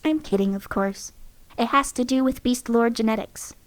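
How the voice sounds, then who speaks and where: A woman's voice: on the high side, normal speed, lightly acted. A woman voicing a secretive, teasing female game companion who talks in a cool, guarded way. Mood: wry. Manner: cool, guarded, slightly condescending. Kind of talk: game voice line previews.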